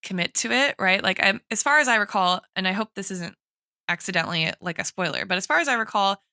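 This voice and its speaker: A woman's voice: medium pitch, animated, quick pace, sharp tone, no echo, studio quality. A woman in a casual, analytical podcast discussion, thinking aloud as she goes and often checking in with "right?". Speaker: podcast co-host and guest expert.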